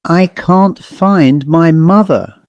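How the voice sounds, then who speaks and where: A man's voice: on the high side, takes it slowly, a little mellow, smooth, no room sound, highs cut off, pitched above the performer's own voice. A man voicing a pitiful little ghost girl, plaintive and faraway, in an audiobook story. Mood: pleading.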